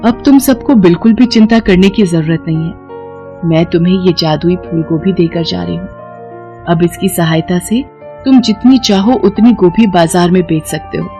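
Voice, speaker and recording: A woman voicing a benevolent, reassuring celestial fairy with a measured, solemn manner in an animated fairy tale, music behind. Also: fairly low pitch, lightly acted. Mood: kind, solemn.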